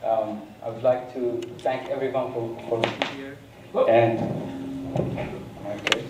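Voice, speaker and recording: A man giving a hesitant acceptance speech with many pauses and a flat, monotone delivery. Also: medium pitch. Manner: hesitant, many ums, self-described monotone. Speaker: award recipient. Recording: echoey hall, distant mic, background noise.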